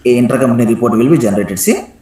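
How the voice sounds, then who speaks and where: A man teaching a live software tutorial step by step, instructing and often checking in with a questioning "right?". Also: medium pitch, rapid-fire. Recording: echoey room sound.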